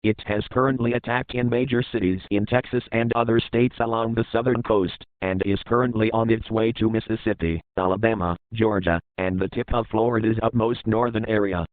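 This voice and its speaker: A man reads a scripted emergency broadcast as an alert announcer, formal, measured and impersonal in delivery. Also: lowish voice, steady tone, dry recording. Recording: narrow phone-like band, dry.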